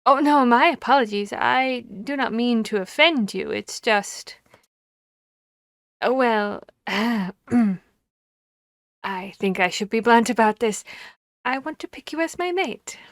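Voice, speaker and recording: A woman voicing a harpy seeking a human mate, commanding yet courteous and bashful, speaking formally and deliberately with hesitant pauses in an ASMR roleplay. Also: fairly high pitch, animated, no echo, clean studio sound. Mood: flustered, embarrassed.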